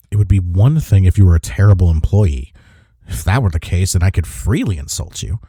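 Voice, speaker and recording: A man acting in an intimate audio roleplay as a gruff but caring office rival, speaking in a husky, soft, reassuring way. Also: low-pitched, no echo, clean studio sound, heavily acted.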